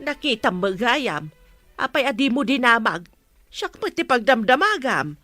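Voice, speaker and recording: Woman doing a meddling nosy mom, a bit high, dry close sound, music under. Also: very animated, measured pace, bright, forceful tone, no room sound, heavily acted. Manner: nosy, insistent prying. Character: nosy meddling mother, middle-aged woman. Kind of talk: audio drama acting.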